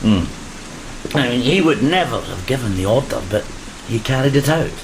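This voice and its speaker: A man's voice: mid-range voice, moderate pace, noisy background, lightly acted. A man playing a wistful, weathered sailor, speaking hesitantly and plainly in a gothic audio play. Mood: reflective. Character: wistful, weathered male sailor, survivor of a flogging. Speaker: actor in an audio drama. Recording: steady rain behind the voice.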